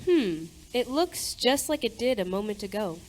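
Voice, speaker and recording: A woman's voice: medium pitch, sing-song, normal speed, a little hiss or hum, lightly acted. A woman playing a practical, skeptical wife and mother in an amateur radio play. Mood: sensible, mild. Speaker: high school theatre performer.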